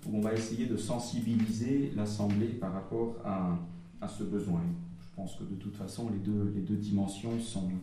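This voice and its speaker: Halting man in a very echoey hall. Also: lowish voice, warm tone, slight background noise. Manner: halting, with frequent pauses and restarts. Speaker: speaker summarizing group feedback at a church gathering. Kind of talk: public talk, reading out notes. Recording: very echoey hall, voice from far off the mic.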